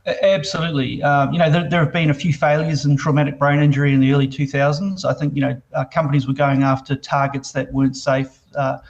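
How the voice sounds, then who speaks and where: Brief: New Zealand exec, monotone, gravelly, hesitant, muffled call audio. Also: male voice, a little high. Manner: halting, many fillers and restarts. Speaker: biotech company CEO. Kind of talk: interview answers.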